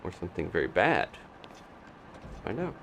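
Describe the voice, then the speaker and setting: A man's voice: low voice, huge pitch swings, normal speed, a bit bright, a little hiss or hum. A man giving casual live video game commentary as a streamer, musing to himself and thinking aloud as he plays.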